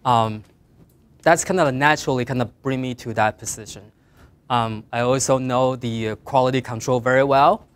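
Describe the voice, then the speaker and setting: Middle-aged guy w/ mandarin accent, second-language english, earnest advice talk, mid-pitched voice, phrases fade out soft at the end. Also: fairly even intonation, moderate pace, smooth. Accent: Mandarin Chinese. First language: Mandarin Chinese. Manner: earnest, plain advice with emphatic rises and trailing quiet endings. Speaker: guest speaker. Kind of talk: career talk to design students.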